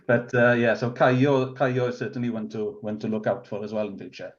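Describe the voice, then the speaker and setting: A man in his forties with a Welsh accent, Welsh as his first language, talking in a relaxed, rambling way as a guest on a rugby podcast, full of restarts and fillers. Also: medium pitch, a little fast, dull audio. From Wales. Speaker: rugby club committee member, guest. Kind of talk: casual podcast interview.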